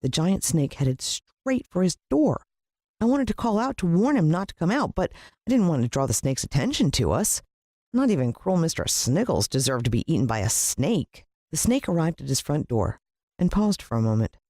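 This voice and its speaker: Animated American woman reading a children's story. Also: deep voice, moderate pace, no room sound, clean studio sound. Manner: dramatic, playing a child's first-person point of view. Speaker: storybook narrator. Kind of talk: expressive scripted children's story narration.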